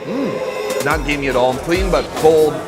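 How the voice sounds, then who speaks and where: Guy hosting a food vlog, jokey and excited, slightly high voice, music under it. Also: a little slow. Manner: playful, joking, enthusiastic. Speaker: food travel host. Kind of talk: casual on-camera food tasting. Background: background music.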